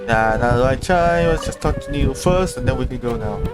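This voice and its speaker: A man's voice: mid-range voice, fairly quick. A man livestreaming a video game with casual, chatty commentary. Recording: game music clearly behind. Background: video game music.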